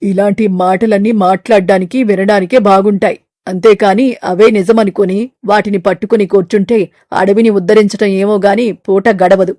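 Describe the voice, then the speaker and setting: A woman voicing a stern male eagle who runs the fruit market, talking in a blunt, domineering way. Her delivery is gruff and commanding. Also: mid-range voice, steady tone, brisk, mellow, no echo, clean studio sound, natural voice. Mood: dismissive. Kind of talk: animated storybook voice acting.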